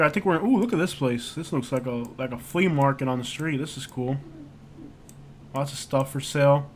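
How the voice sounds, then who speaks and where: A man with a fairly high voice hosts a loose, unscripted livestream. He rambles as he reacts to what he sees and leans hard on filler words. His delivery is casual and conversational throughout. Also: fairly bright tone. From United States. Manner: rambling, heavy on fillers like "like" and "you know". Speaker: livestream host. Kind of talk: casual live-stream chat while browsing street views.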